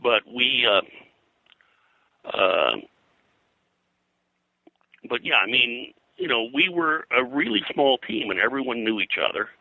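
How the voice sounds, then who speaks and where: An older man reminiscing as an interview guest, casually rambling and halting, with false starts and repeated phrases. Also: medium pitch, very animated, gravelly, bright, forceful tone, no echo, low-quality recording. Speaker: interview guest, veteran comics production artist.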